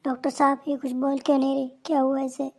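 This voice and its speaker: A man's voice: very high-pitched, monotone, fast, heavy background noise. An actor playing a frightened husband, anxiously begging the doctor for news about his wife. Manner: anxious, pleading. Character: anxious husband of a dying woman. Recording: faint music behind.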